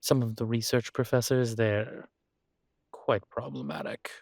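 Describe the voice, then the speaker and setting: Guy acting as a seductive demon professor, vain but awkward, husky voice, super close dry audio roleplay. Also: medium pitch, fairly even intonation, measured pace, darkish tone, dry recording. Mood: wry, concerned. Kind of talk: intimate audio roleplay, one-sided conversation.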